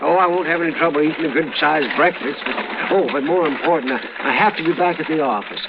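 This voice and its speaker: An actor playing a kindly, fussy frontier town doctor who chuckles and reassures, in a 1950s radio drama. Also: male voice, on the high side, quick pace, close to an everyday voice. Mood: genial. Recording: muffled old radio broadcast with hiss.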